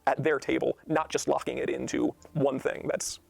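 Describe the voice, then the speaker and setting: A man's voice: medium pitch, steady tone, normal speed, vocal fry, a little mellow, faint music behind. A man speaking as a game designer, explaining ideas expansively with lists of examples in a panel-style discussion.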